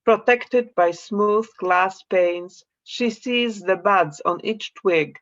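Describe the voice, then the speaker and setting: A woman from Poland with a Polish accent, reading her poems slowly and introducing them in a measured way on a video call from her home. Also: on the low side, dull audio. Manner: slow, measured reading of verse. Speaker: poet. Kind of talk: poetry reading with spoken introductions. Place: room at home.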